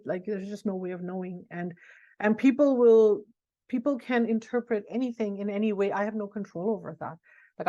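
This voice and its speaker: A woman's voice: mid-range voice, mellow. A middle-aged woman author answering interview questions in a frank, reflective way, thinking aloud as she goes. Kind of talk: candid conversational interview answers. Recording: dull lo-fi audio.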